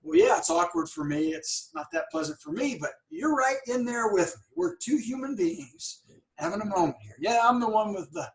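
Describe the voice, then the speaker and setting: A man's voice: extremely high pitch, animated, moderate pace, vocal fry, rough recording. A middle-aged man hosting an interview, talking earnestly and stuttering now and then on words. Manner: earnest, stammers and repeats words. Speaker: podcast host; dentist and practice owner. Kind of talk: conversational interview.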